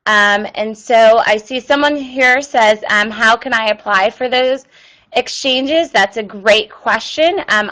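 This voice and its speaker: A woman's voice: mid-range voice, a bit bright, poor audio. A woman presenting an online webinar and answering viewer questions, with many ums and uhs. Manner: reads viewer questions aloud.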